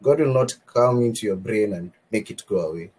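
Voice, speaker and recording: A man's voice: on the low side, a little fast, a little mellow, husky. A man making an earnest, opinionated argument on a podcast.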